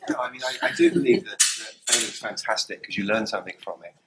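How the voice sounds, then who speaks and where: A man speaking as a panelist, talking briskly and in a self-deprecating way. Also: on the low side, huge pitch swings, gravelly, noisy background. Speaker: panelist, fashion school dean. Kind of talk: panel discussion.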